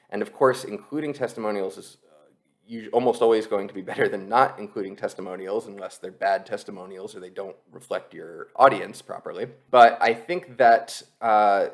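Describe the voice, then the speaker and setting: A man presenting an explanatory screen-share tutorial as an instructor, talking conversationally and explaining things step by step. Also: fairly low pitch.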